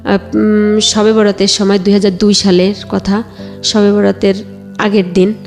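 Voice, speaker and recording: A Bangladeshi woman telling her own life story conversationally, a little haltingly, quoting remembered exchanges. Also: mid-range voice, little pitch movement, a little mellow, faint music behind. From Bagerhat area, Bangladesh.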